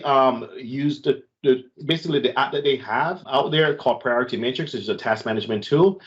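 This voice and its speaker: Man giving community call updates, lo-fi. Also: medium pitch. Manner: conversational, warm thanks, many fillers and restarts. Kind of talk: online community call announcements.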